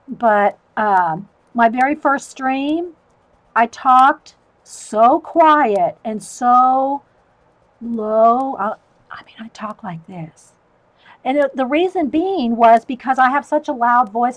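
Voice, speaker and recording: Middle-aged woman, relaxed live-stream chatter, higher voice, quick laughs. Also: poor audio. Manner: chatty, talks to viewers, laughs often. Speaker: artist livestreamer. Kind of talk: casual chat while drawing.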